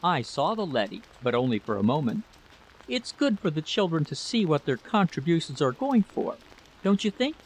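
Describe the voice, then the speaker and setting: A man voicing a gentle, anxious wife in a story, fretful, hesitant and pleading. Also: a little high, very animated, normal speed, husky, pitched above the performer's own voice, rough recording. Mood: chatty, vague.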